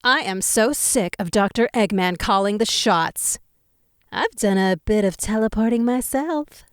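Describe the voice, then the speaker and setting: A woman's voice: on the high side, clean tone, dry recording, clean studio sound. A woman playing a flirty, sly female bat spy in a fan audio drama, with a coy, dramatic delivery.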